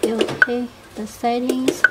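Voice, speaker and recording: A woman demonstrating a product step by step without a script, speaking in short, halting fragments. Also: fairly high pitch, flat delivery. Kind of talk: unscripted step-by-step product tutorial. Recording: noisy room with clicks.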